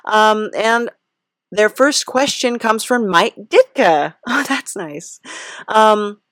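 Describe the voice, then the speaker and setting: Woman host, casual comedy interview. Medium pitch, slow halting delivery, giggly, dry close sound. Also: fairly bright tone, dry recording.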